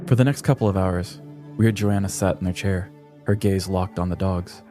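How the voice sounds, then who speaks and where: A man narrating a scripted true-crime comedy podcast in a theatrical, deliberate storytelling manner. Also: sits low, fairly even intonation, mellow, soft background music.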